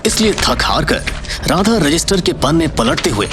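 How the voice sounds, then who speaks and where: A man's voice: a little high, very animated, moderate pace, music in the background. A man narrating a scripted audio story in a dramatic storytelling style.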